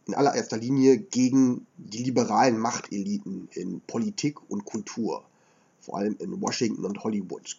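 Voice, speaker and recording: A man with a slight cold and a hoarse edge, explaining history as a podcast host in a measured lecturing way with frequent filler sounds. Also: medium pitch, darkish tone, highs cut off.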